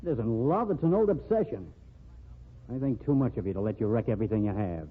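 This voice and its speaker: A man's voice: lowish voice, lively intonation, quick pace, husky. An actor playing a stern, jealous man who warns a woman and threatens to expose a secret, in an old radio drama. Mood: threatening. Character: jealous, warning male friend. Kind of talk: 1940s radio drama acting. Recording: tinny lo-fi old radio broadcast.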